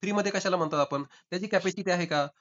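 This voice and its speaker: A man talks very quickly on a recorded phone call with dull, lo-fi sound. He argues his side insistently, repeating points as he goes, in a slightly high voice. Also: a bit bright. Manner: insistent, argumentative, repeating himself. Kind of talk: informal debate.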